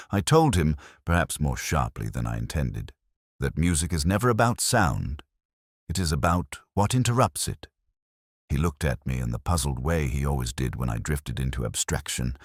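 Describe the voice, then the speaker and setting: A melancholy, introspective detective, played by a man, recounts a case in measured, reflective prose. The voice is extremely deep, yet it rises and falls expressively. The sound is dry and close, suited to scripted mystery narration. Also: breathy edge, dry recording, exaggerated voice. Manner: measured, reflective, literary phrasing.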